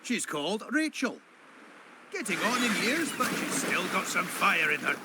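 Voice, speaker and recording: A hearty old sea dog of a video game, spirited and boastful. His creaky voice swings widely in pitch as he talks in folksy, exclamatory old-salt fashion. He takes his time, with music playing behind him. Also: big pitch swings, unhurried, noisy background, exaggerated voice. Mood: fond, nostalgic. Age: older. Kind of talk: video game character acting.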